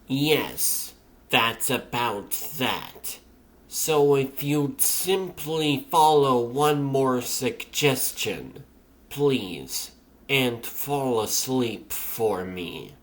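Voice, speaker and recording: Comic-dub henchwoman: male actor as cool clinical scientist, very slow, mid pitch, precise and politely detached. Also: animated. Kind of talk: comic dub character acting.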